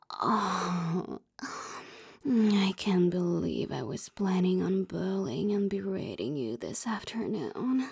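A woman speaking in a soft, coaxing, teasing whisper for a roleplay audio, with sighs and moans. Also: on the low side, dry recording, clean studio sound. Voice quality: whispery, breathy.

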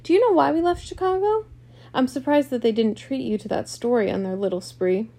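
A distraught wealthy young wife from a storybook drama , acted out by a woman reader. Her creaky voice is emotional, swinging from scornful to pleading. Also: medium pitch, fairly even intonation, normal speed, lightly acted. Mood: cold scorn.

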